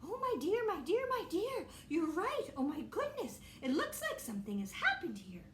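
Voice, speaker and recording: A woman's voice: very high voice, very animated, moderate pace, reverberant, a little hiss or hum, pitched above the performer's own voice. A woman voicing a fussy mother bear who frets and repeats herself. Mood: fretting.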